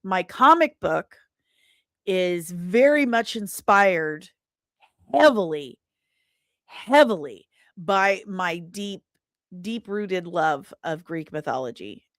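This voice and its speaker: A woman hosting a casual livestream, talking in an animated, rambling and self-deprecating way. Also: fairly low pitch, slow pace, pauses often, no room sound, studio quality. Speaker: livestream host.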